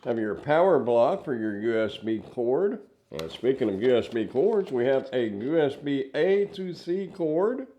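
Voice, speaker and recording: A man's voice: mid-range voice, big pitch swings, unhurried, warm tone, a little hiss or hum. An older man giving a casual hands-on product review, explaining things step by step in plain words.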